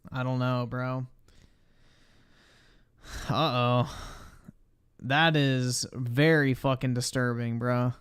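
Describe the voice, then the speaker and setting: A man's voice: medium pitch, fairly even intonation, slow pace, long pauses, smooth. A man hosting a livestream, making crude, incredulous and mocking casual reaction comments on a video.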